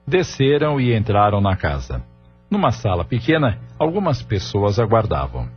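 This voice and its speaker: Male narrator, low pitch, scripted storytelling, audio drama, dull recording, soft background music. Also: wildly swooping pitch, normal speed, breathy edge. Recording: muffled studio narration over music.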